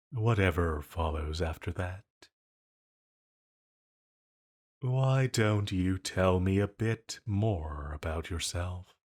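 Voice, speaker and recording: A man voices an ancient, banished male entity in an intimate audio drama. His voice sits low and he takes his time over every phrase. He is flirty and a little self-conscious, a lonely villain who hesitates as he muses. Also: heavily acted. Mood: flirty, tender. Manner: flirtatious, hesitant, unhurried musing. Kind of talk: intimate scripted roleplay audio.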